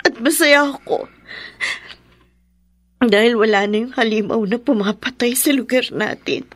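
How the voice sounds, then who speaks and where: An actress playing a grieving, gentle young daughter in a radio drama, speaking in a tearful, halting way. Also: female voice, fairly high pitch, dry recording. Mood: bitter relief. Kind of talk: melodramatic radio drama acting.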